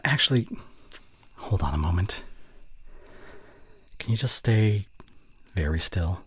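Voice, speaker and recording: A man acting a fond, gently teasing young college voice actor in an intimate ASMR roleplay, speaking softly and hesitantly. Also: low-pitched, lively intonation, takes it slowly, slightly rough, no echo, exaggerated voice. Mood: playful, tender. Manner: soft, hesitant, tender delivery with whispered asides.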